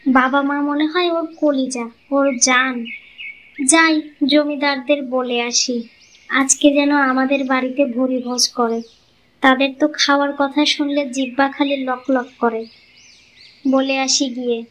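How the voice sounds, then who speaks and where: A woman's voice: high voice, flat delivery, measured pace, fairly bright tone, rough recording. A woman voicing a selfish young village wife with a coaxing manner in a cartoon. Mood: bitter, sarcastic. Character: selfish, greedy young village wife, sweet-talking but secretly resentful. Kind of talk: cartoon moral-story voice acting.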